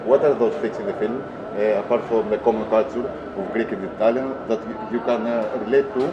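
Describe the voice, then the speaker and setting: A man interviewing a guest, asking halting questions with many hesitations. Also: lowish voice, steady tone, echoey room. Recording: dull lo-fi sound with clear noise.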